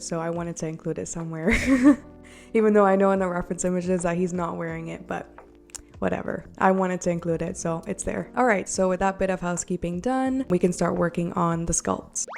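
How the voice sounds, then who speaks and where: A young woman narrating her craft video in a friendly, chatty way, her voice still a little rough from being sick. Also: on the low side, brisk. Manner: chatty and self-deprecating, with little laughs. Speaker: polymer clay artist narrating a craft video. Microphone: borrowed desk mic. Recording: soft background music.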